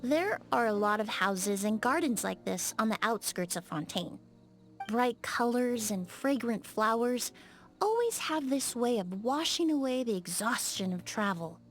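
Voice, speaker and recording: Poised, high-voiced young perfumer. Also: female voice, very animated, faint music behind. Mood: calm, reflective. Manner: measured, articulate, politely blunt. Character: poised, precise, polite young woman perfumer. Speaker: game character voice actor. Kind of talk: scripted video game dialogue.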